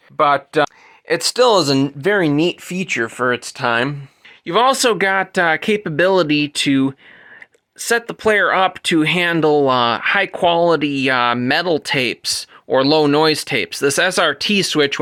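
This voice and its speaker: A man presents a technical demonstration in a somewhat high, bright voice. He explains things methodically and in detail, speaking off the cuff and correcting himself as he goes. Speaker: presenter demonstrating vintage audio gear.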